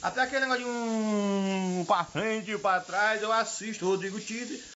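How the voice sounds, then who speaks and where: A middle-aged man speaks with eager, admiring enthusiasm. His voice comes through a dull, muffled recording with clear noise running underneath it. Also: high-pitched, fairly even intonation, moderate pace.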